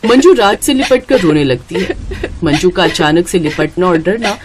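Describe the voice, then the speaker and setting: A woman's voice: lowish voice, lively intonation, moderate pace, background noise. A woman storyteller reading a scripted narration.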